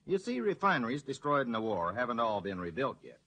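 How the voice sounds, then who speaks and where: A man's voice: mid-range voice, big pitch swings, a little fast, fairly bright tone, breathy edge, heavily acted. A man acting a patient, explanatory grocer in a 1940s radio advertisement. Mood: earnest.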